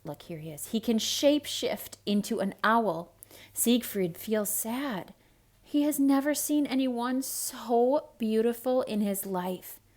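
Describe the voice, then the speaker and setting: A woman's voice: a little high, slow. Dramatic woman reading a children's storybook. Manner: dramatic, expressive, with hushed whispered asides to young listeners. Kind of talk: theatrical read-aloud storytelling for children.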